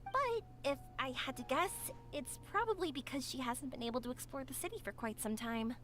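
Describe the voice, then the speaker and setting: An actress voicing a cheerful, talkative young woman in a game, speaking in a bright, encouraging way. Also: sits high, fairly quick, slight background noise. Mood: thoughtful. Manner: bright, encouraging, sing-song. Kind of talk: game voice acting.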